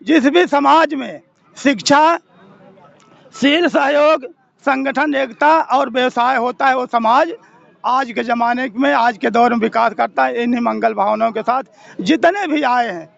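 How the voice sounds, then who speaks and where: A male monk speaks formal words of exhortation in a strikingly high voice. It is full of heavy creak, and the lo-fi recording sounds dull. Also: a little slow, a bit bright. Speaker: Buddhist monk interviewee.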